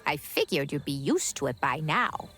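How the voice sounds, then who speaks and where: A woman playing an unflappable, teasing onlooker in a game, droll and unhurried. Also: deep voice, big pitch swings, a bit bright, faint music behind. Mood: amused, sardonic. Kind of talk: dramatic game dialogue.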